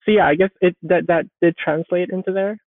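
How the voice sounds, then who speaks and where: A woman's voice: fairly low pitch, fairly even intonation, a little fast. A woman answers interview questions in a thoughtful way, circling back and restarting her sentences as she searches for the right words. Her voice comes through a narrow, phone-like remote line. The sound is dry, with no room echo at all. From Sweden. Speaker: guest, software developer.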